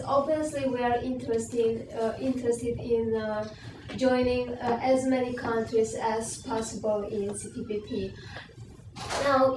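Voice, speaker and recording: Polite young woman from Belarus, distant mic. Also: a little high. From Russia and Belarus. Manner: polite, hesitant. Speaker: student delegate asking a question. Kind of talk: formal audience question. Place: small conference room. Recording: echoey room, distant mic, clear noise.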